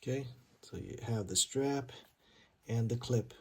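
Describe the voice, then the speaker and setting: A man's voice: medium pitch, measured pace, creaky. Casual man reviewing gear, noisy recording. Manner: informal, hesitant, thinking aloud while handling items. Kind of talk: casual unboxing and setup demonstration.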